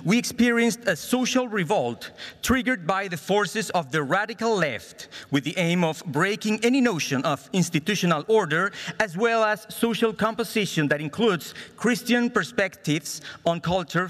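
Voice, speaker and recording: A Chilean man with a Spanish accent delivers a formal speech at a conference. His voice is notably high for a man and rings through a reverberant hall. He reads in an earnest, deliberate way as a non-native speaker. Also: fairly bright tone. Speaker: conference speaker at a political summit. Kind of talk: formal prepared political speech read aloud. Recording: reverberant large hall sound.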